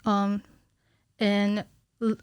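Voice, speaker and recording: Woman, thirties, medium pitch, podcast guest, halting informal explanations, casual chat, studio microphone. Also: monotone, clean tone. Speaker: podcast guest, cinematic animator. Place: small podcast studio indoors. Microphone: studio microphone on stand, with headphones.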